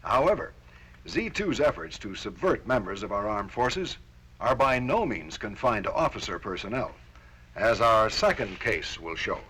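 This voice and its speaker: A man's voice: lowish voice, big pitch swings, normal speed, a bit bright, slight background noise, low-quality recording. An American man delivering stern, formal, authoritative scripted narration as presenter of a Cold War-era military training film.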